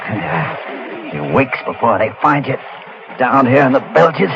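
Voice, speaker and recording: A man's voice: medium pitch, big pitch swings, a little slow, vocal fry. An actor playing a guilty, ruthless ship's crewman in a radio thriller, guarded and coldly menacing. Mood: cold menace. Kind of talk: vintage radio thriller acting. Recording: muffled, noisy old radio drama recording.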